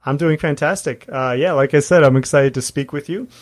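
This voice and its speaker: A man's voice: on the high side, brisk. A man speaking as the host of an interview podcast. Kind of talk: interview and scripted introduction.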